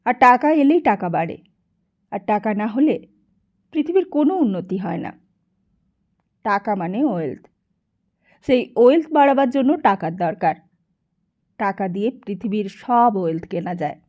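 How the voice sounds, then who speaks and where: A woman's voice: mid-range voice, expressive, a little slow, long pauses. Woman voicing a smug, pompous rich businessman, dull recording. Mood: boastful, philosophising. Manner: pompous monologuing, mixes English into Bengali. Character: smug, money-hungry, cigar-smoking worldly businessman. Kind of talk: audiobook character dialogue.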